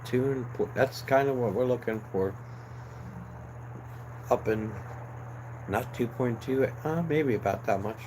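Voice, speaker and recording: A man's voice: mid-range voice, measured pace, pauses often. A young man hosting a casual live chat, losing his voice and rambling along with fillers and restarts. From New Jersey, USA. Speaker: livestream host. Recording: clear background noise.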